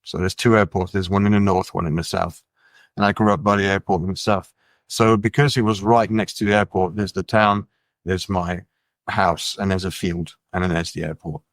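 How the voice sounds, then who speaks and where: A middle-aged man from France, a musician guest in a casual interview, talking in a relaxed, musing way with many fillers. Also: deep voice, normal speed, dry recording. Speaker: musician and label head, guest.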